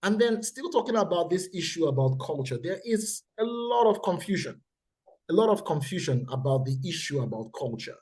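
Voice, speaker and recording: Nigerian man presenting, husky voice. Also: fairly high pitch, very animated, darkish tone. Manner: deliberate, repeats key points for emphasis. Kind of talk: webinar presentation. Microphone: video call.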